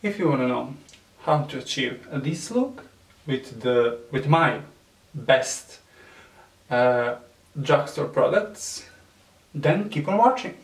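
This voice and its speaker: A man with a Serbian accent, whose first language is Serbian, gives a makeup tutorial in halting English with many fillers and soft nervous laughs. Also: mid-range voice, expressive, gravelly. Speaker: beauty vlogger. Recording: echoey room, mic at a distance.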